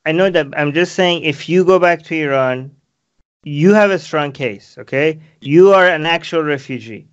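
Probing man with a smooth voice. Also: a little high, moderate pace, muffled recording. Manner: probing, questioning, many fillers. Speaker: interviewer. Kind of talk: video call interview. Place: small room, plain wall.

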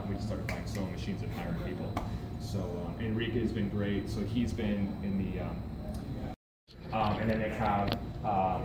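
Laid-back man touring an echoey workshop. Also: lowish voice. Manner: laid-back, says "dude" and "like" a lot. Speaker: co-owner giving a factory tour. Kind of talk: casual walk-and-talk tour. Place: large indoor workshop with sewing machines. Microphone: handheld camera following him. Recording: echoey warehouse with loud background noise.

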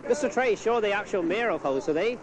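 A man's voice: sits high, animated, fast talker, a crowd in the background. A man working as a radio reporter, doing live interviews outdoors at a fair. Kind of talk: live outside broadcast interviewing. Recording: outdoor fair tape with background music.

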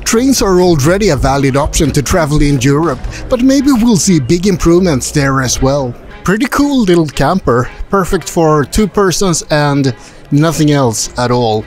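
Lively, high-voiced man in his forties. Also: normal speed, faint music behind. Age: 40s. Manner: upbeat, chatty, asks visitors questions. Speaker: travel vlogger. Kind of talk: casual vlog with voice-over narration. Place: busy indoor car expo hall. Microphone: handheld furry mic close to the face.

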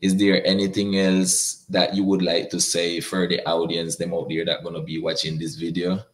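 A young man from Nicaragua with a very low, deep voice hosts a video call interview. He asks his questions in a relaxed, friendly way. He sounds casual and at ease. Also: normal speed. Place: indoor room.

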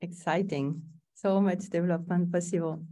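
A woman's voice: fairly low pitch, fairly even intonation, moderate pace, warm tone, recorded from a distance. A woman moderates a webinar over a video call, reading out questions politely. She adds encouraging remarks between them. Her voice sounds set back from the microphone.

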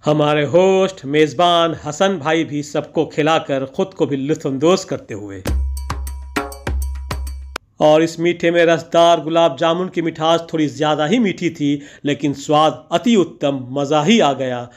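Man, higher-pitched voice, scripted vlog voice-over, ornate theatrical wording, poetic narrator. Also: unhurried, music in the background. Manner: florid, poetic, theatrical phrasing. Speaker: vlogger narrating.

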